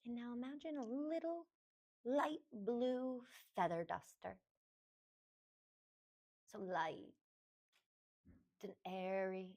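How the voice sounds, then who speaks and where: A woman yoga teacher leading a guided meditation, giving gentle, unhurried instructions in short phrases with pauses between them. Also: a little high.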